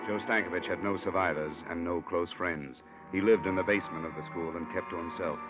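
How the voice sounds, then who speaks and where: A man's voice: deep voice, a little fast, natural voice. A man playing a tough, wry insurance investigator, clipped and dry, narrating a vintage radio detective drama. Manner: clipped, dry, hard-boiled. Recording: dull old radio recording, loud music.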